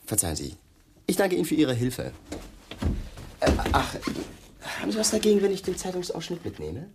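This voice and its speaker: Needling detective, restlessly swooping voice. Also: male voice, a little high, wildly swooping pitch, a little fast, creaky, background noise, exaggerated voice. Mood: mock-polite. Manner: insinuating, mock-polite, probing. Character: pushy, needling male police detective, slyly insinuating. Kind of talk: German radio crime drama acting.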